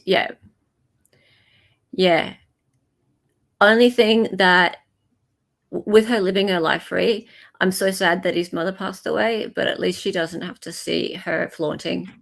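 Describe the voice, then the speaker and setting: A woman's voice: lowish voice, gravelly, fairly bright tone. A woman host from Australia giving casual live commentary, sympathetic and emphatic, repeating phrases for stress.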